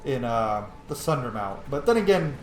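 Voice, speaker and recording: A man's voice: medium pitch, sing-song, normal speed. Male gaming host, casual and opinionated, rambling and sweary, recorded in an echoey room with faint noise. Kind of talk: casual gameplay commentary. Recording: roomy echo, faint background noise.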